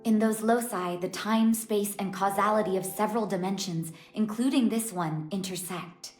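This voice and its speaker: A woman's voice: mid-range voice, moderate pace, lightly acted. An actress voicing a young-looking priestess of a dragon god, a long-lost doting mother, in an English game dub. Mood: solemn, explanatory. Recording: echoing voice under prominent music. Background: guitar and instrumental music.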